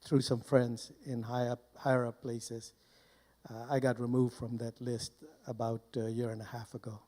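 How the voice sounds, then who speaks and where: An older man from Burma speaks into a close handheld mic in a mellow, dark-toned voice at medium pitch. He gives personal testimony to an audience informally, pausing often and moving in short, deliberate phrases. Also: level tone. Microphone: handheld microphone held close.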